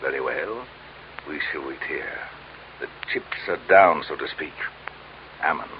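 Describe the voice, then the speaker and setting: Pompous deep-voiced fat crime boss. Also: male voice, a little slow. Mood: commanding, smooth. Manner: florid, grandiose, mock-courteous speech. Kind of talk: old-time radio drama acting. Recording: dull, hissy old radio recording.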